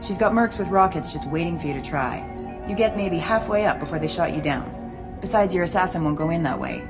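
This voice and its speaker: An actress playing a jaded, tough ex-security chief in a video game, blunt and cynical. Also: female voice, lowish voice, lively intonation, brisk, close to an everyday voice. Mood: briefing, cautioning. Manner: blunt, cynical, matter-of-fact. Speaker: game character. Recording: game soundtrack music behind.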